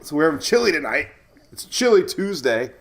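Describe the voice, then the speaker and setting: A male live-stream host with a fairly high voice chats casually to viewers. He rambles and jokes along, and his nose sounds a little stuffed up from a cold. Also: sing-song, brisk, fairly bright tone. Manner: chatty, rambling, jokey.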